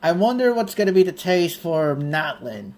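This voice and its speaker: Female streamer, deep and slow but lively in intonation, casual play-along commentary. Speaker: game streamer. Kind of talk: casual live gameplay commentary and reading.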